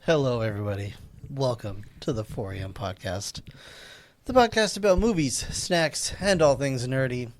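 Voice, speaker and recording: A man's voice: medium pitch, lively intonation, normal speed, noisy background. A man hosting a casual movie podcast, joking and laughing often with his co-hosts. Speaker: podcast host.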